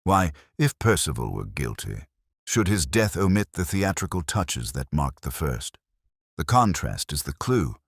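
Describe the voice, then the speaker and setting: A man voicing a brilliant, intense detective in an audiobook, commanding and cryptic, with deliberate, rhetorical delivery. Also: rumbling low, sing-song, husky, dry recording, studio quality, exaggerated voice. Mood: intent.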